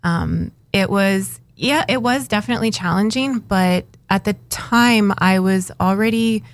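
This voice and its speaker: A woman's voice: medium pitch, unhurried, no echo. A woman from Northern Alberta, in her thirties, answering interview questions hesitantly with many ums and uhs. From Northern Alberta, Canada. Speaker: interview guest.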